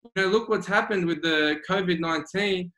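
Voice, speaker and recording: Australian man, high voice, earnest guest coach, echoey room. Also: normal speed, sharp tone. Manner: earnest, emphatic, repeats key phrases. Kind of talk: remote interview. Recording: roomy echo.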